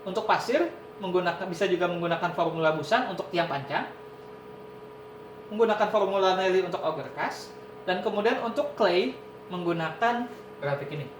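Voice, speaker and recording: Male lecturer, step-by-step explanation, roomy echo, background hiss and rumble. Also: moderate pace, pauses often. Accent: Indonesian. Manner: explains step by step, often says "nah" and "ini". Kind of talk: online university lecture. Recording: echoey room with noise and rumble.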